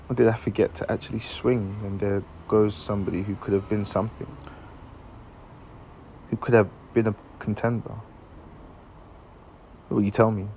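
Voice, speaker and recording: A man performing a dramatic monologue as an anguished dying man, earnest and halting as he questions someone he loves. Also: fairly low pitch, slight background noise. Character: anguished dying man confessing long-hidden love, searching for meaning.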